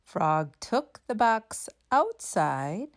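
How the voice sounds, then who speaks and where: A woman storyteller reading a children's book aloud in a deliberate, expressive narrating style. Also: medium pitch.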